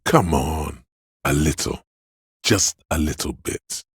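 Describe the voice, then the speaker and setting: An extremely low, very husky male voice of vice for a video game, sleazy and theatrical. He coaxes like a hedonistic tempter, unhurried and indulgent, in a close, dry recording. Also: no echo, heavily acted. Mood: urging, goading. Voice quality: gravelly, husky. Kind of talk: video game character voice lines.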